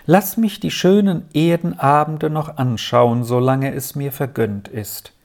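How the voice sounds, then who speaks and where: A quiet storybook father and army major, soothing and resigned, as a narrator gives him voice. He speaks slowly and gently, only a little above the reader's own pitch. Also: steady tone, close to an everyday voice.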